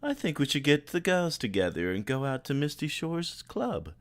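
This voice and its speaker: A man voicing a genteel, gossipy, dramatic female cartoon pony in an affected, drawn-out manner. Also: on the high side, sing-song, voice pushed up. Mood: apologetic, chatty. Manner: affected, drawn-out, calls listener darling.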